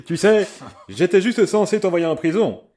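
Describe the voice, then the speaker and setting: A man's voice: high-pitched, lively intonation, normal speed, a little mellow. A man playing a pompous, inept superhero who declaims theatrically in a comic audio drama. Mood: triumphant, menacing. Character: bombastic, inept male superhero, theatrical and easily rattled.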